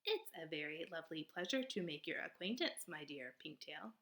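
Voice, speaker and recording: A woman voicing an earnest, gentle female robot in a storybook, with formal, stilted, over-polite phrasing. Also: low-pitched, animated, normal speed. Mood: stiffly friendly. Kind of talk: storybook character read-aloud.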